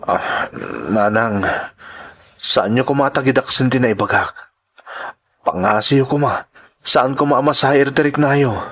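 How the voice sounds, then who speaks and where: A man acting a distressed, stubborn, quick-tempered husband in a radio-style melodrama, earnest, with an Ilocano accent. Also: mid-range voice, fairly bright tone. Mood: troubled.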